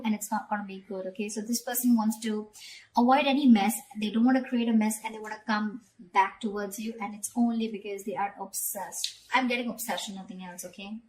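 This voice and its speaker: A woman's voice: on the high side, slight background noise, low-quality recording. A woman giving a conversational tarot reading straight to the viewer, often repeating phrases and checking in with short tag questions. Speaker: tarot reader.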